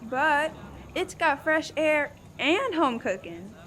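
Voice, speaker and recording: A woman's voice: high voice, big pitch swings, takes it slowly, a bit bright, exaggerated voice. A woman playing a blunt, sarcastic old wife who bickers in a comic radio play. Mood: nagging.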